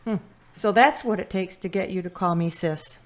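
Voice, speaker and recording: An actress playing a doting, affectionate sister who speaks chirpily, heard a little off the mic. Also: female voice, lowish voice, moderate pace, close to an everyday voice.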